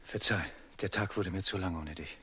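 A man's voice: low-pitched, normal speed, sharp tone. An actor in a radio drama playing a noble enslaved man, respectful, restrained and homesick, speaking formally and earnestly. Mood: hushed passion. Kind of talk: classic German radio drama acting.

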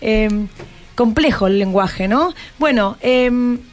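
A woman's voice: fairly high pitch, lively intonation, takes it slowly. An Argentine woman hosting an informal radio interview, chatty and encouraging, often thinking aloud. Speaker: radio host interviewing students. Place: radio broadcast at a microphone.

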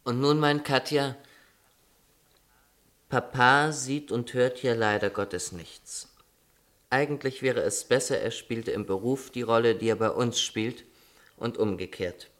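A man's voice: mid-range voice, unhurried, pauses often, a bit bright, natural voice. An actor playing a teasing, affectionate young man, an easygoing brother with a chatty, wry manner in a radio play. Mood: wry, reading aloud. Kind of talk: German radio play acting.

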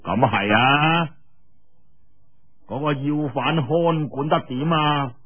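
A man voicing a haughty, sarcastic male cult elder who interrogates in drawn-out, sneering Cantonese. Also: on the high side, slightly rough, lo-fi sound. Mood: sarcastic, suspicious.

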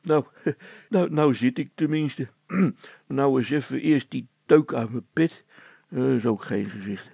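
A man's voice: mid-range voice, a little slow, gravelly, mellow, breathy edge, dry recording. A man voicing a gloomy, whining village policeman in a storybook, plaintive, grumbling and hesitant.

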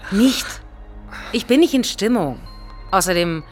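An actress playing a sharp-tongued, daring lover in a radio play, teasing and pushy in the way she talks. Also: medium pitch, expressive, measured pace, faint music behind, lightly acted. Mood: irritated. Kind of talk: German radio play acting.

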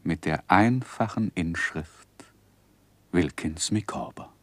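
An actor playing a composed, considerate gentleman who narrates his life story in measured literary style for a 1960s radio play. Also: low-pitched, lively intonation, no room sound, exaggerated voice. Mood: composed, reflective.

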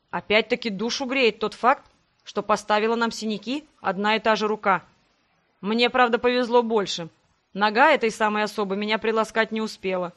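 A woman's voice: fairly high pitch, a bit bright, close to an everyday voice. A woman voicing a venomously sarcastic young nobleman in a fantasy audiobook, with mocking, teasing irony.

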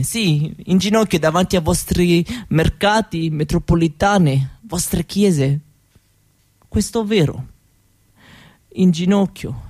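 An actress playing a weary, bitter Roma mother who begs, speaking halting Italian with a Roma accent in a theatrical monologue. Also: lowish voice, warm tone. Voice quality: broken, foreign-sounding Italian. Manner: slow, repetitive, halting.

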